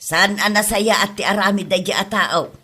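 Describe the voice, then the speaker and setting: A woman's voice: mid-range voice, fairly even intonation, moderate pace, bright, forceful tone, husky. A woman playing a suspicious, fretful mother in a family comedy drama.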